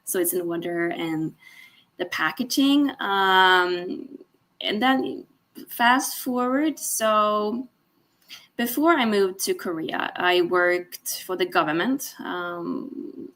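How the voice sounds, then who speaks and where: A woman's voice: medium pitch, slow, fairly bright tone. A woman, a guest on a video call, speaking hesitantly with many fillers and frequent laughs. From Sweden. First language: Swedish. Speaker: guest, sales director. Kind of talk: casual interview.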